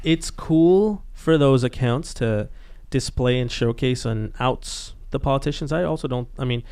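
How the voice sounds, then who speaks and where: A man's voice: mid-range voice, a little slow, smooth. Young guy from bangladesh cohosting a podcast, blunt and fired up, lots of ums and restarts. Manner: blunt, animated, many fillers and restarts. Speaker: podcast co-host. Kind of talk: casual chat.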